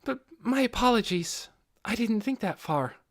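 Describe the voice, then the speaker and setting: A man's voice: high voice, expressive, normal speed, fairly bright tone, pitched above the performer's own voice, full character voice. A man voicing a deferential, questioning female servant with a polite, formal manner in a fantasy audiobook. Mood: apologetic.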